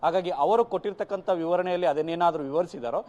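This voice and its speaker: A man's voice: high voice, fast, darkish tone, lo-fi sound. A man with a Karnataka Kannada accent giving a live news report in long, winding sentences.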